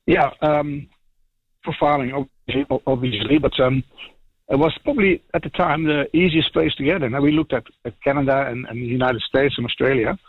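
A man's voice: mid-range voice, brisk, breathy edge, no room sound. Dutch-accented man on a muffled line. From Netherlands. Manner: hesitant, many ums and restarts. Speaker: dairy farmer, caller. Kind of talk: casual radio phone chat.

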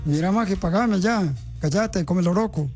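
A man's voice: high voice, unhurried, over music. A male radio host, posing as a government official in a prank phone call, teasing and mock-stern.

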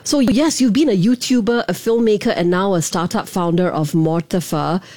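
A woman's voice: medium pitch, moderate pace, darkish tone, dry recording. A woman hosting an interview, chatty and affirming, asking long questions.

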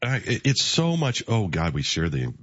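Radio host, male, very low husky voice, rambling easy interview style, dry slightly dull studio sound. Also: wildly swooping pitch, normal speed, a bit bright, no echo. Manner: rambling, many restarts and asides. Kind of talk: casual radio interview chat.

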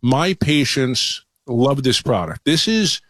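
A man's voice: medium pitch, animated, slow, fairly bright tone, no room sound. Male doctor guest, dry close sound. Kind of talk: conversational promotional interview.

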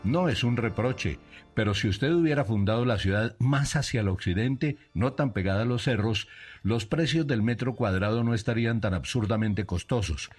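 A man's voice: medium pitch, moderate pace, slightly rough. A Colombian radio commentator, a man, reading a scripted satirical monologue in letter form. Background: music.

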